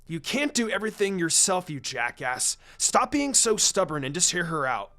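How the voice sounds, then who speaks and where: A brash young ninja boy from an adventure story, played by a man reading aloud. He is blunt and excitable but good-hearted, with a lively, swinging pitch. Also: moderate pace, exaggerated voice. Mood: indignant.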